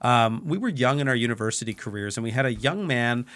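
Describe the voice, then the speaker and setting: A man's voice: mid-range voice, lively intonation, quick pace, a bit bright. A man co-hosting a podcast, telling anecdotes casually in a rambling, repetitive way. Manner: rambling, repeats phrases as he goes. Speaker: podcast co-host.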